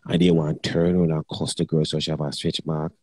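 A man's voice: a bass voice, little pitch movement, fairly quick, dark tone, dry recording, clean studio sound. A middle-aged man, talking bluntly and crudely in patois in a casual chat.

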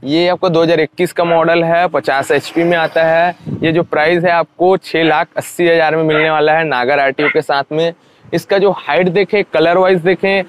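A man's voice: a little high, slight background noise. A young man from India, giving an informal, repetitive and explanatory sales walkaround as a vlogger. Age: young adult. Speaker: vlogger presenting used tractors. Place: outdoors at a roadside tractor dealership.

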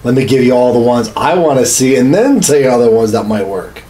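A man's voice: mid-range voice, animated, a little fast, dark tone. Guy in his 30s, casual podcast chat, really echoey room with some noise. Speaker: podcast co-host. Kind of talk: casual conversational chat about games and movies. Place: small home studio room, indoors. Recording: echoey, noisy room recording.

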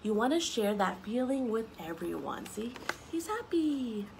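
Animated, high-voiced woman reading to kids. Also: a little slow, creaky, background noise. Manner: expressive, simple explanations aimed at young children. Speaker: story time reader for children. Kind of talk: children's picture book read-aloud with asides. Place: outdoors on a wooden deck among trees.

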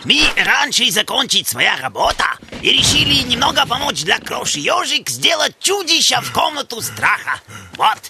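A man's voice: very high voice, wildly swooping pitch, normal speed, gravelly, pushed and bright, faint music behind, exaggerated voice. A man voicing a cheerful, eager young cartoon animal with a foreign accent and broken grammar.

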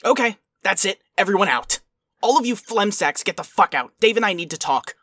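A woman's voice: medium pitch, lively intonation, fairly quick, dry recording, clean studio sound. A woman voicing an angry, foul-mouthed young male troll in a cartoon dub, ranting and exasperated. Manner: ranting, irritable, shouty. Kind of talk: animated web comic dub acting.

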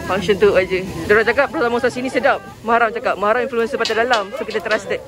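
A Malaysian man with a strikingly high voice chats playfully as he films. He tosses out quick asides. A bustling crowd fills the background. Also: steady tone. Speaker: vlogger. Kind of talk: casual chat while filming. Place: crowded outdoor market. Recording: busy crowd behind.

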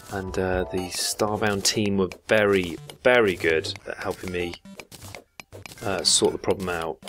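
A man's voice: low-pitched, a bit bright. A man from England giving rambling, casual commentary while playing a video game, swearing now and then. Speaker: gaming YouTuber. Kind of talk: casual let's play commentary.

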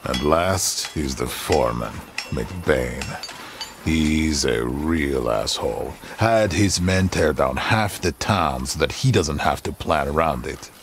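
A man's voice: very deep voice, expressive, slow, noisy background, heavily acted. An actor playing a cocky, easygoing Wild West outlaw in a video game, drawling and dropping Spanish words into his English. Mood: plotting. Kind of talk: video game cutscene acting.

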